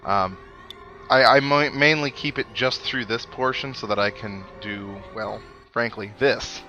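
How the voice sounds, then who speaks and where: A man's voice: on the low side, sharp tone, muffled recording. A man giving casual, rambling let's play commentary, thinking aloud as he plays. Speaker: gaming host. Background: video game music.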